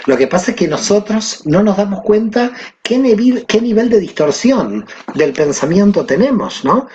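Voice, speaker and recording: Male guest teacher from Argentina, animated and expressive, on a muffled recording. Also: high voice, normal speed, a little hiss or hum. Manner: animated, rhetorical questions. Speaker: guest, Kabbalah teacher and author. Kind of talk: conversational explanation.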